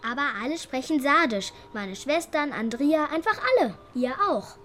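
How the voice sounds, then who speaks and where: A female performer playing a bright, stubborn schoolgirl in a radio drama, direct and full of childlike questions. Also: sits high, expressive, normal speed, slight background noise. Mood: cheeky, insistent. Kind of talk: naturalistic radio drama dialogue.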